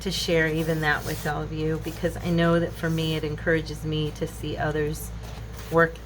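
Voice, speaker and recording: Low-voiced woman in her fifties, chatty. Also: monotone, normal speed. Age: 50s. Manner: chatty, rambling, musing aloud. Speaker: craft channel host. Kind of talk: casual crafting tutorial chat. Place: indoor craft table at home. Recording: clearly noisy home recording.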